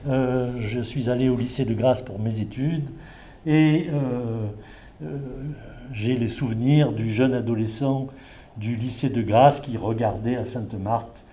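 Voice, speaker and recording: A middle-aged man from southern France addresses a live audience at a mid-pitched, ordinary register. His voice is slightly muffled by a face mask, and he pauses often, filling gaps with hesitant sounds and restarts as he lectures. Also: warm tone. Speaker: invited speaker, environmental law expert. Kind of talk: conference talk to a live audience. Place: indoor venue with an audience.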